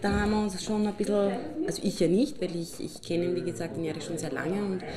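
A woman's voice: on the low side, fairly even intonation, fast, crowd noise, close to an everyday voice. An actress playing a chatty woman with an Austrian accent who bickers insistently in a naturalistic radio drama. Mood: amused, fond. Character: chatty woman bickering with her partner about an old friend.

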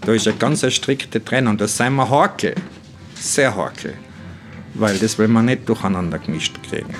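A man's voice: fairly low pitch, a little slow, soft background music. A man playing a pedantic crew member and science fiction fan, speaking emphatically in a radio play. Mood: emphatic.

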